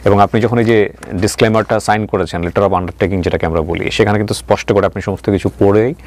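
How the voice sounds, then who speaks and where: Male interview host, low register, rapid delivery, asks clarifying questions. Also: fairly even intonation, darkish tone. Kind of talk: probing personal-story interview.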